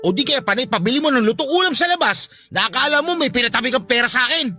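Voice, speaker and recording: A whiny lazy filipino husband, radio drama, high pitched n talks fast, sarcastic. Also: female voice, expressive, bright, forceful tone, soft background music. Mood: defensive. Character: lazy, defensive young husband, sarcastic and petulant.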